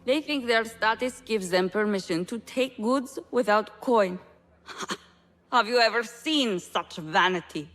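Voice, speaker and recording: An actress playing a wry, gossipy market trader in a video game, confiding and expressive. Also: female voice, on the high side, slow pace. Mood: wry, scornful. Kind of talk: cinematic video game dialogue.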